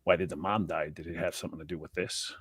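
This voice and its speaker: A man's voice: low-pitched, fairly even intonation, quick pace, a crowd in the background. A man chatting casually and eagerly after a tabletop roleplaying game, recounting how he played. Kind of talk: casual post-game chat.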